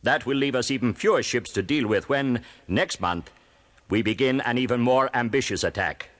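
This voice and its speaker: An actor playing an arrogant, confident Japanese naval commander in an audio drama, declaiming pompously. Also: male voice, mid-range voice, normal speed, natural voice. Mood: confident briefing.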